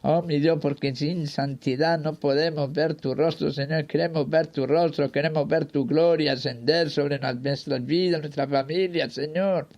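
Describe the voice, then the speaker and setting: A man's voice: a little high, fairly even intonation, measured pace, hardly any pauses, darkish tone, highs cut off. A man leading a fervent spoken prayer, pleading in short repeated invocations. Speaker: prayer leader.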